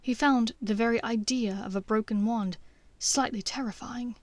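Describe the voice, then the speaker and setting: A woman reading scripted fiction as an audiobook narrator, in a measured, expressive storytelling manner. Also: fairly high pitch, dry recording.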